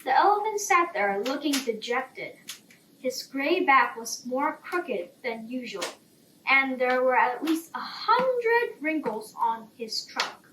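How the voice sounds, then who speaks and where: A woman reads a bedtime story at a slow, unhurried pace. Her pitch is high and moves around expressively, and she sounds slightly distant in a roomy space. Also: very animated, a bit bright. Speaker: storybook reader. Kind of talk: bedtime story narration, scripted. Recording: roomy, distant, faint background noise.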